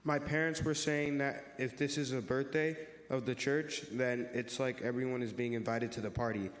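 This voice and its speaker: Casting: earnest male youth for church reader's theater, played by a man, explanatory and friendly, mid-pitched, heard in a reverberant hall. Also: fairly even intonation, normal speed, lightly acted. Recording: echoey hall with long reverb.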